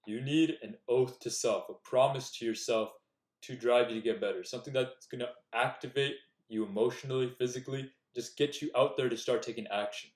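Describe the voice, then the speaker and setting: A man's voice: medium pitch, fairly quick. A young man giving earnest, direct self-improvement advice in a casual talk to the camera. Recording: echoey room.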